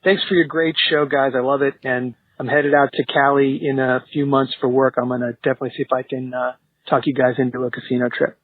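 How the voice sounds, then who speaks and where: A husky man leaves a voicemail over a narrow, tinny phone line. He talks informally as a caller explaining himself, with a dry sound and no room around him. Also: medium pitch, level tone, quick pace, dry recording.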